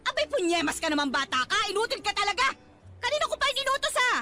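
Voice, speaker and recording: An actress in a radio drama playing a mother who nags and scolds her children in a shrill voice. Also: sing-song, fast talker. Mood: scolding. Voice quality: shrill, nagging. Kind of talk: Tagalog radio drama acting. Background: loud background music.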